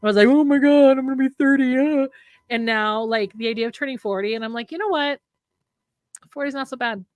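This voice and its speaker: A woman's voice: sits high, brisk, no echo. Rambling, reflective woman in her late thirties. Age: late 30s. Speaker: writer co-hosting a live stream. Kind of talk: casual chat.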